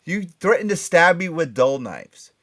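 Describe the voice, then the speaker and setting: A man's voice: on the high side, sing-song, a little slow, a bit bright. A man hosting a casual panel show, bantering and introducing topics, often repeating phrases for emphasis. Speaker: podcast and radio show host.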